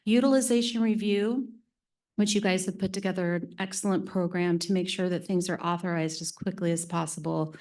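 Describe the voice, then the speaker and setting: A woman with a slightly low voice walks a board through a presentation in a meeting room. She keeps it friendly and chatty, with easy laughs, and speaks into a conference microphone. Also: steady tone, brisk, darkish tone. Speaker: presenter from claims team.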